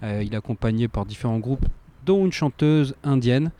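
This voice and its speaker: A young man with a mid-pitched voice and a warm, mellow tone co-hosts a relaxed radio show. He talks in an easygoing way and laughs readily. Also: moderate pace, slight background noise. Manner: laid-back, laughs easily. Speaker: co-host. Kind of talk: casual radio chat.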